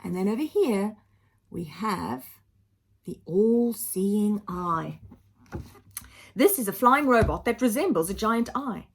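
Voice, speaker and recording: A woman's voice: mid-range voice, animated, slow, creaky, pauses often, breathy edge. A woman reading a children's storybook aloud like a teacher, with dramatic emphasis and simple explanatory asides.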